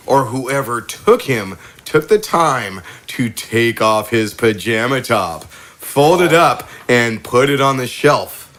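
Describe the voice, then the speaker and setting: A man's voice: mid-range voice, fairly bright tone. A man narrating a mystery game as its host, in a theatrical scripted style.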